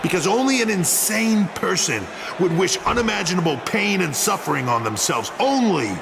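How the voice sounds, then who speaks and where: A smug wrestling boss giving a threatening video game promo. His slightly high voice is gravelly and deliberate, with drawn-out emphasis over clear background noise. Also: animated. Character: menacing male wrestling authority boss, smugly threatening.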